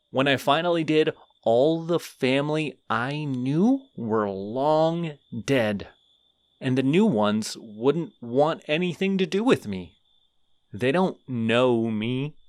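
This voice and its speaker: A man's voice: mid-range voice, no room sound. A man voicing a chatty, lonely skeleton. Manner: rambling, earnest, a bit awkward. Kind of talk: audio roleplay.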